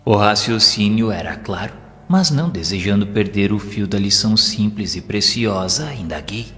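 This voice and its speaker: A man's voice: on the low side, lively intonation, normal speed, sharp tone, faint music behind. A man with a Brazilian accent playing an earnest, reverent spirit disciple in an audio novel, formal and deferential, with an inquiring manner. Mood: reflective narration. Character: earnest, reverent male spirit disciple narrating his lessons. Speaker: audio drama narrator. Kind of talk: dramatized scripted narration.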